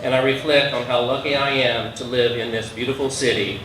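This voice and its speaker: Male speaker, earnest measured dedication speech, echoey outdoor sound with faint noise. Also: medium pitch, steady tone. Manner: earnest, measured, reading from notes. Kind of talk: prepared public speech. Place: outdoor street gathering. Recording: echoey from far off.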